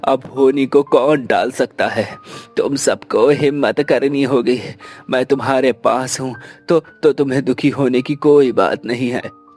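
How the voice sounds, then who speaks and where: A man voicing a calm, steady village father in a family cartoon, talking in a slow, soothing way. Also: mid-range voice, soft background music, lightly acted. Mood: consoling.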